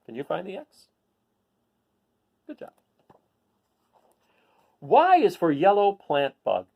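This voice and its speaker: A man's voice: high-pitched, wildly swooping pitch, takes it slowly, creaky, highs cut off. A man reading a children's picture book aloud, adding casual asides and putting questions to his listeners. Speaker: read-aloud host.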